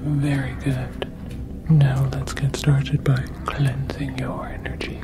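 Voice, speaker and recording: Alien psychic hotline healer, played by a woman: very low, slow breathy whisper, soothing and formal, for an ASMR roleplay. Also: little pitch movement, over loud music, heavily acted. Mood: soothing, courteous.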